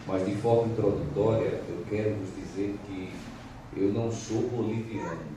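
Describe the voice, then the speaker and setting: Deep-voiced evangelist from Pernambuco, echoing hall. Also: male voice, fairly even intonation, moderate pace, background noise. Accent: Pernambuco Brazilian Portuguese. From Pernambuco, Brazil. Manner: formal, emphatic, dramatic reading. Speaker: visiting evangelist. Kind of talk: sermon with scripture reading. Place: church service. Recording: echoey church hall, from far off.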